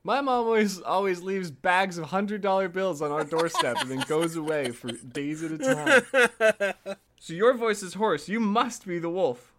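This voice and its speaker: A man's voice: sits high, moderate pace, fairly bright tone, voice pushed up. A man voicing defiant young storybook goat children in an indignant, declaring manner. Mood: mock indignant. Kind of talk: storybook character reading.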